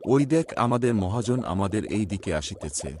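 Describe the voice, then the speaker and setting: A man as a lowish-voiced villager, noisy background. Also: big pitch swings, normal speed, darkish tone. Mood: thoughtful. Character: thrifty, practical village fish-farmer friend. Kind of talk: cartoon voice acting. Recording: clear background noise.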